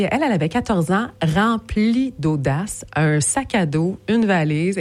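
Woman, Québécois accent, native Portuguese speaker, low-pitched, lively chatty guest. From Montreal area, Quebec. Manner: lively, quick storyteller who laughs often. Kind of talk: casual podcast interview.

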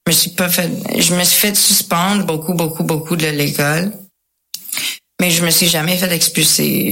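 An English-speaking woman tells her personal story casually, with slangy English words mixed in and pauses to search for words. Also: lowish voice, gravelly, fairly bright tone. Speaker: guest sharing a recovery testimony.

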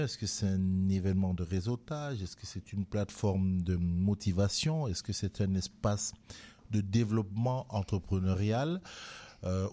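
Low-voiced radio host. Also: male voice. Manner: relaxed, conversational, frequent hesitations and laughs. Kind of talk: radio interview.

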